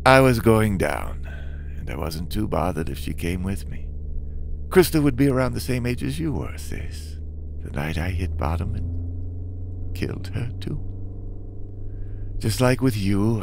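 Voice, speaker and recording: Male actor for a weary driver father, brooding narration, lively in pitch. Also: vocal fry, a little hiss or hum, exaggerated voice. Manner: slow, brooding storyteller narration. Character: weary guilt-ridden stunt driver father. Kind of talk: narrated motion comic. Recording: over loud music score.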